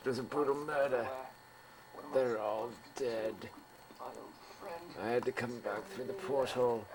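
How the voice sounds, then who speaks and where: A man's voice: mid-range voice, close to an everyday voice, low-quality recording. Grave, guilt-ridden massacre survivor, played by a man. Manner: grave, repetitive reporting. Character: shaken, guilt-ridden male returnee from a massacre. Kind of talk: amateur audio drama acting. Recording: homemade tape with crowd-like noise behind.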